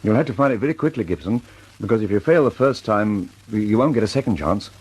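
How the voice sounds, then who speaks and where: A man's voice: fairly low pitch, animated, quick pace, over music, natural voice, low-quality recording. An actor playing a fussy, anxious older male bomb inventor in a 1940s radio drama. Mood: fretful.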